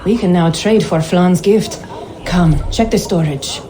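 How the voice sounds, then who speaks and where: A woman's voice: lowish voice, a little slow, crowd noise, natural voice. An actress playing a shrewd, wry woman trader in a Viking-age video game, speaking in an assured, businesslike way. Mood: businesslike, pleased. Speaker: game character, merchant. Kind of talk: video game cutscene acting.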